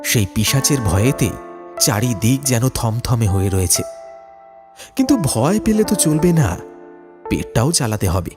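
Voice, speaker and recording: A man's voice: mid-range voice, big pitch swings, unhurried, long pauses, over music. A man narrating a ghost story from a script in a dramatic style.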